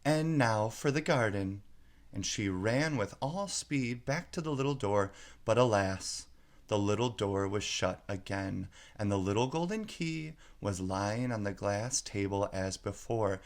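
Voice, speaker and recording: A man reading a children's story aloud as narrator, in a measured, expressive way. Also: lowish voice.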